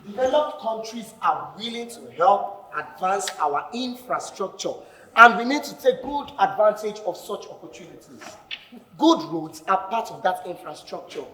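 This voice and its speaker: An actor playing a smug, condescending young debater who insists on logic. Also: male voice, high voice, sing-song, normal speed, pushed and bright, full character voice. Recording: echoey hall, faint noise.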